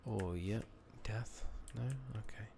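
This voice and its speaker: A soft-spoken man giving hushed ASMR commentary on a game playthrough, murmuring and musing aloud in an unhurried way. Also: lowish voice, fairly even intonation, gravelly, background noise. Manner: hushed, murmured, sometimes slipping into whisper. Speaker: gaming channel host.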